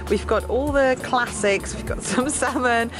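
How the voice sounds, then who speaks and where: A woman's voice: on the high side, a little slow. A young German woman with a German accent, chatting enthusiastically to a handheld camera as a food vlogger. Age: young adult. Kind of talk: upbeat vlog narration to camera. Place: bedroom, bus, street and restaurant. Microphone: handheld camera at arm's length. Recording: music playing underneath. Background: background music.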